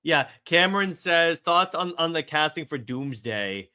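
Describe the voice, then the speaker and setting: A man's voice: on the high side, lively intonation, a little slow, bright, forceful tone, smooth. A man co-hosting a fan podcast, casually reading out and answering viewer questions with enthusiastic opinions. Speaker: podcast co-host.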